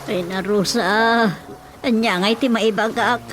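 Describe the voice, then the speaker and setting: A woman's voice: on the high side, fairly even intonation, unhurried, fairly bright tone, soft background music, poor audio. A woman playing a worried, lamenting mother in an emotional radio drama. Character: worried mother lamenting to her daughter Rosa. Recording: clear background noise.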